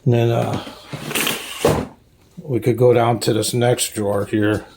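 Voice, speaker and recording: A man giving a casual, unscripted show-and-tell as a hobbyist, halting often and searching for names as he goes. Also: mid-range voice, little pitch movement, gravelly, noisy background. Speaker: hobbyist showing a radio collection.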